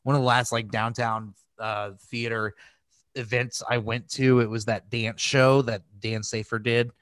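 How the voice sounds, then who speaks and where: A man's voice: mid-range voice, little pitch movement, unhurried, a bit bright, no room sound. A man hosting a podcast, chatting casually about films in a crass, emphatic and gushing way. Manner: crass, freely swearing. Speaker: podcast host. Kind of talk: casual film review chat.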